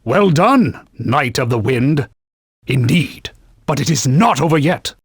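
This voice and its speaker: A man's voice: mid-range voice, expressive, slow, husky, dry recording, clean studio sound, exaggerated voice. A man voicing a haughty talking sword in a video game, a stern knightly mentor who scolds pompously in old-fashioned phrasing. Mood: haughty, grudging. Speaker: video game companion guide. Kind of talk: video game voice acting, short combat callouts.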